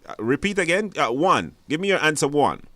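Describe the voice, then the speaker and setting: A man's voice: on the high side, big pitch swings, brisk, fairly bright tone, slight background noise. A man hosting a live radio phone-in, chatty and informal, often repeating his phrases. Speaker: radio show host. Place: radio studio.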